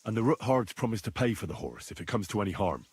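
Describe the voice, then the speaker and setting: An actor playing an earnest, confident medieval adventurer in a video game, husky and courteous in a measured way. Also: male voice, on the low side, very animated, full character voice. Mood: earnest, businesslike. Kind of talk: video game dialogue acting.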